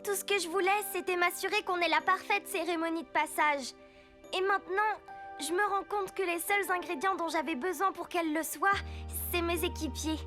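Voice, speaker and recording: An eager young girl superhero in a kids' cartoon, with a very high, bright voice. Loud cartoon music plays behind her. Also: moderate pace. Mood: earnest. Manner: eager, energetic. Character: upbeat young girl superhero team leader. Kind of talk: French cartoon dub. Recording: studio dub over loud kids' music.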